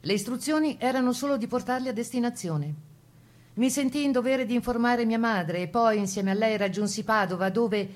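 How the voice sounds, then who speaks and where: A woman's voice: on the high side, brisk, lightly acted. A woman playing a plucky Italian Resistance partisan, telling her wartime memories in the first person as a dramatized radio testimony. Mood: resolute. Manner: composed first-person storytelling.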